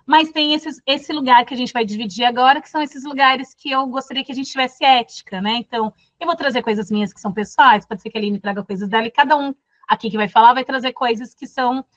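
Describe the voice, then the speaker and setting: Brief: woman from Brazil, course facilitator, slightly high voice, dry and dull lo-fi video-call sound, reflective delivery. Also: quick pace, fairly bright tone, dry recording. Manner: thoughtful, many fillers and restarts. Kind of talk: casual classroom talk.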